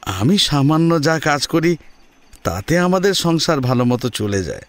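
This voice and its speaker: A man voicing a humble, deferential father who politely and haltingly pleads for a marriage match in an audio drama. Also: a little high, big pitch swings, no room sound, voice pushed up. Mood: humble pleading.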